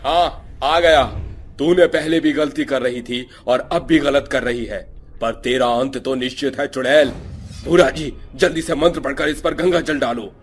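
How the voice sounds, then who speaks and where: A man voices a stern village headman in a cartoon horror tale. He speaks in a firm, commanding manner at an ordinary middle pitch. He gives orders and confronts a witch. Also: steady tone, a little slow, faint music behind, close to an everyday voice.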